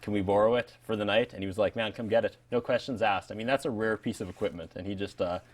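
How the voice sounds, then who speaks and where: A man's voice: lowish voice, fast talker. A Canadian man hosting a show with casual on-stage interview talk. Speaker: TV show host.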